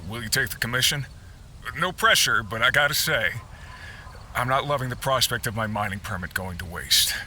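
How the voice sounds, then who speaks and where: A man's voice: medium pitch, animated, moderate pace, pushed and bright, slight background noise. A man playing a worried, friendly miner who coaxes the listener into taking on a job, in a game cutscene. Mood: coaxing. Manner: chatty and coaxing. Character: worried male miner giving a commission, friendly and persuasive.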